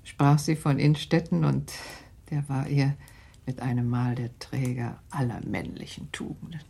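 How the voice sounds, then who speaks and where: A shrewd aristocratic mother in a radio drama, her dark, gravelly voice slow and measured. She speaks in confiding tones and sometimes drops to a hushed whisper. The voice is deeply husky throughout. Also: fairly even intonation, full character voice. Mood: worried. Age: middle-aged. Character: worried aristocratic mother, middle-aged, shrewd. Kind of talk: 1960s German radio drama acting.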